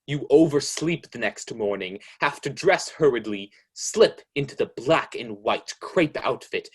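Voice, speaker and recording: A man playing a sardonic, all-knowing radio mystery narrator, slow, knowing and taunting, in old-time radio style. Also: mid-range voice, husky.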